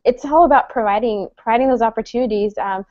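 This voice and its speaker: A friendly woman in her thirties, originally from El Salvador, moderates an online panel with a slightly high voice. She talks chattily, full of fillers and restarts. The sound is dry, dull and lo-fi. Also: quick pace, darkish tone, dry recording.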